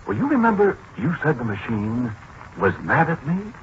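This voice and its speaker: An actor playing a flustered, earnest, halting man in a 1940s radio drama. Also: medium pitch, big pitch swings, lo-fi sound, lightly acted. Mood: hesitant, earnest. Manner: hesitant, halting, stammering. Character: flustered, earnest man convinced his machine talks. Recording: noisy, band-limited 1940s radio recording.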